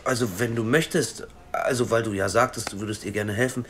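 A man's voice: fairly low pitch, steady tone, brisk, fairly bright tone, a little hiss or hum, close to an everyday voice. An actor playing a hesitant male tech colleague who rambles eagerly, in a radio play.

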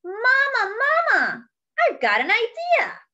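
A bouncy, eager little boy mouse from a storybook, as a woman puts him on. His voice is high, squeaky and brightly sharp, leaping up and down with excitement. The sound is a little dull and lo-fi. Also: takes it slowly, exaggerated voice.

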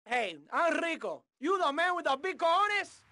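An actor playing an eager, macho young Cuban gang member in a video game, cocky and excitable, with a Cuban accent, under loud engine and street noise. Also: male voice, very high voice, normal speed, no echo, full character voice. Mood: excited, cocky.

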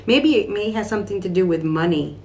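A middle-aged woman giving an improvised tarot reading to camera in a small room at home, halting and repeating phrases as she thinks aloud. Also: medium pitch. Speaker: tarot card reader. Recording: clear steady background room noise.

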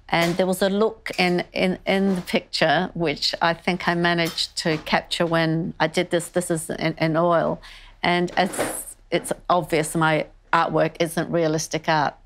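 A woman's voice: low voice, a little hiss or hum. An older woman from northern Greece who moved to Australia as a child, speaking candidly and thoughtfully as an artist being interviewed. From born in northern Greece, moved to Australia as a child. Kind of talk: reflective conversational interview. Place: indoor wood-panelled art studio. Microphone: lapel mic.

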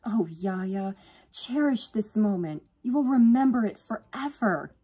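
A woman's voice: high-pitched, slow, warm tone, pitched above the performer's own voice. A woman voicing a cheery, sentimental older sister in an audiobook, gushing and effusive. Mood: swooning, delighted. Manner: swooning, effusive. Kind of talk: audiobook character dialogue.

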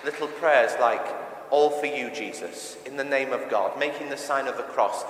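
A man's voice: mid-range voice, moderate pace. Echoey church sermon from a male preacher. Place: large reverberant church interior. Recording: echoey church with long reverb.

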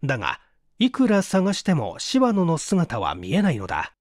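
A man's voice: on the high side, huge pitch swings, normal speed, no room sound, clean studio sound. A man narrating a literary story as a scripted, dramatic audiobook reading.

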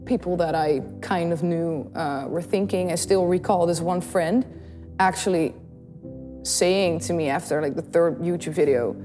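A woman's voice: on the low side, unhurried, in a large hall, soft background music. A young woman with a Dutch accent, chatting casually to camera in a small studio, bubbly and giggly as she tells stories. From Netherlands. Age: young adult. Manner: bubbly, giggly, animated storytelling. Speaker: YouTube singer and host. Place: small studio in front of a blue backdrop. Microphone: studio condenser mic on the desk.

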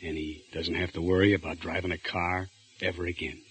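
A man's voice: deep voice, level tone, brisk, lightly acted. An actor playing a menacing escaped male convict in a 1940s radio drama, cold and insinuating. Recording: old 1940s radio broadcast with music.

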